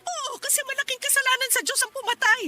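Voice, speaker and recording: A woman's voice: very high-pitched, steady tone, fast talker, background noise. A woman playing a worried, devout middle-aged mother in a radio drama, firm and pleading as she admonishes. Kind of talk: Tagalog radio drama acting.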